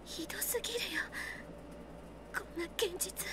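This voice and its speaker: A woman voicing a soft-spoken, earnest young girl in a Japanese visual novel, with music underneath. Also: very high voice, a little fast, whispered. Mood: earnest, sad. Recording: loud game music underneath. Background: loud background music.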